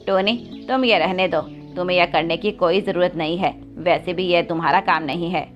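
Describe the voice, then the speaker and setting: Woman doing a lower-voiced, protective young male parrot. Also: fairly even intonation, moderate pace, dull audio, soft background music, lightly acted. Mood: reassuring. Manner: reassuring, earnest, firm. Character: kind, protective young male parrot, big-brother student. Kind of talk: Hindi children's cartoon story acting.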